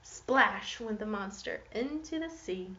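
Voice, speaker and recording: Female teacher for an interactive picture-book read-aloud, expressive storyteller, echoey and slightly muffled room sound. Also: medium pitch, slow pace, distant mic. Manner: expressive storytelling, posing questions to young listeners.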